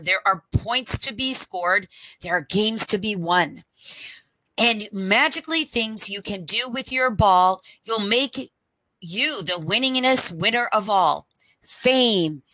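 Storytime reader: middle-aged woman, bright forward tone, unhurried deliberate phrasing, headset mic. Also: medium pitch, lively intonation, no room sound, low-quality recording. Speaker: storyteller reading to children. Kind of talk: read-aloud picture book storytime. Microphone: headset microphone.

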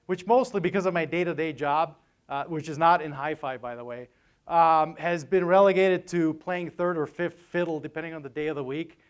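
Male seminar speaker, 40s, clear resonant voice on the higher side, conversational and joking. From Vienna, Austria. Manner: conversational, joking, often tags sentences with "right?". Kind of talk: informal conference talk. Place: hotel meeting room, on stage at a lectern. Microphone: lapel mic.

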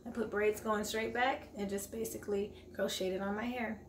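A woman's voice: mid-range voice, steady tone, moderate pace. A woman in her forties, chatting upbeat to her viewers in a casual grocery haul vlog, filmed at arm's length in her home kitchen. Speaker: vlogger. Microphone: camera at arm's length. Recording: echoey kitchen, faint room noise.

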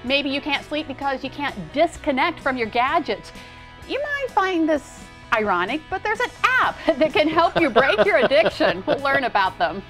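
Expressive middle-aged woman TV host. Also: high voice, moderate pace, soft background music. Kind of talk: TV magazine show hosting. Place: TV studio set.

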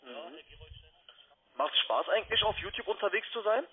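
A man's voice: high-pitched, very animated, normal speed. A man working as a call centre broker, talking informally and insistently on a sales phone call. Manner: insistent, probing.

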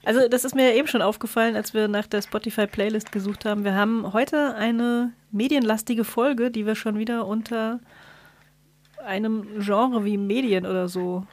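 A woman's voice: on the high side, steady tone, normal speed. A woman co-hosting a podcast, chatting casually and explaining things while searching for her words. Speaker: podcast co-host.

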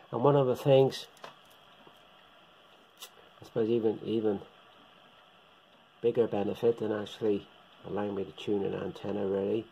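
Guy with a gravelly mellow voice showing his radio gear, unhurried, bit muffled lo-fi sound. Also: fairly low pitch, a little hiss or hum. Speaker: shortwave radio hobbyist presenter. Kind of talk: casual hands-on equipment demonstration. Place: indoors, room with radio gear on a shelf.

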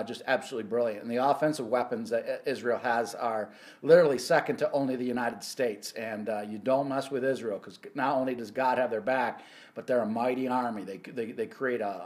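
Middle-aged male ministry host; earnest, halting delivery with many fillers; prophecy teaching, close phone mic indoors. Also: medium pitch. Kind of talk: weekly Bible prophecy teaching. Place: indoor office or home room.

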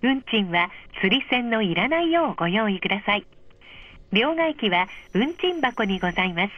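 A woman reading a polite, measured scripted announcement over a train's speakers, clearly enunciated. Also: mid-range voice, sing-song, no room sound. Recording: dull, muffled train speaker recording.

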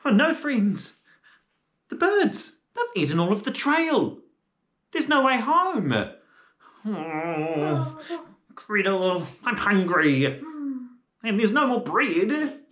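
A man's voice: high voice, very animated, slow pace, pauses often, fairly bright tone, full character voice. A young man playing an eager, naive, easily spooked little boy in a children's fairy tale, with a theatrical, wide-eyed manner. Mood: alarmed, amazed. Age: young adult. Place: living room. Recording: echoey indoor room.

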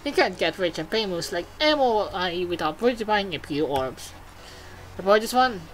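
A woman's voice: medium pitch, animated, normal speed, close to an everyday voice, low-quality recording. Woman reading as a flamboyant robot TV presenter. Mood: showy, gushing. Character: flamboyant showbiz robot TV news presenter, gushing and vain.

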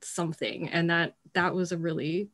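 A young woman speaks casually on a video call in a slightly low voice. Her delivery is animated and halting, looping back over phrases as she explains an experience. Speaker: actor in a community theatre production. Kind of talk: casual reflective anecdote. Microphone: video call computer mic.

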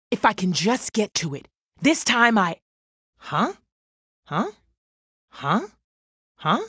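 An actress voicing a big, brawny, jovial gem blacksmith in an animated video game, with a punchy, folksy swagger. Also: female voice, high voice, husky, no echo, studio quality, exaggerated voice. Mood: surprised, urgent.

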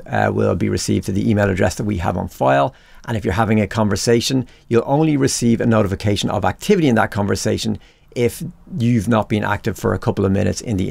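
A man with a fairly low voice and very heavy creak presents a software tutorial. He explains things in a casual, conversational way. The voice-over is close and very dry, with no room sound. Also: no room sound. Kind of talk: instructional screencast narration, conversational.

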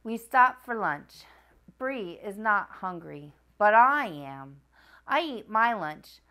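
A woman in her thirties hosting a children's storytime, reading aloud in an expressive, sing-song storytelling manner. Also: medium pitch, takes it slowly. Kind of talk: children's picture book read-aloud. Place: small furnished room at a wooden table, indoors.

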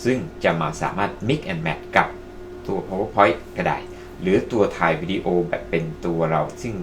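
A man's voice: sits low, lively intonation, unhurried, background noise. A man presenting casually to online viewers as a tutorial host, addressing them politely as friends. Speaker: presenter of video-making tutorials. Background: music.

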